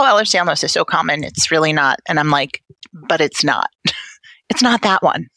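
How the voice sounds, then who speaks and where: A woman's voice: low-pitched, pushed and bright, dry recording. A woman with a Brooklyn accent speaking candidly and wryly in a personal interview. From Brooklyn, New York, United States. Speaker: interview guest, patient family advocate.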